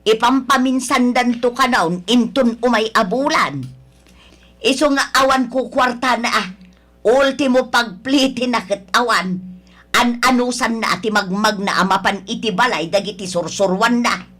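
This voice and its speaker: A woman's voice: on the high side, moderate pace, fairly bright tone. A woman playing a nagging, disapproving older mother of a grown son in an Ilocano radio drama, scolding and complaining. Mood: grumbling.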